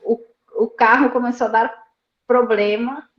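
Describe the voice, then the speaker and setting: A woman who grew up in Argentina, telling stories as an interview guest in a lively way, laughing often and repeating words. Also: on the high side, unhurried, roomy sound, dull audio, noisy background. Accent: slight Argentine Spanish. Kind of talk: casual storytelling interview.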